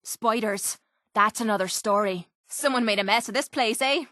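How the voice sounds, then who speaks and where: An actress playing a tough, sarcastic young pit fighter in a video game, with an Irish accent and a blunt, salty, wry way of talking. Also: female voice, a little high, moderate pace, bright, forceful tone, no room sound, studio quality. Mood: wry, guarded. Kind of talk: video game character voice lines.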